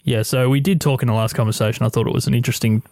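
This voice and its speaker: Australian podcast host in a studio. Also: male voice, mid-range voice, steady tone, racing, a little mellow, no room sound. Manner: friendly, a bit rambling, self-deprecating. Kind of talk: interview chat. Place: radio studio. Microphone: studio mic.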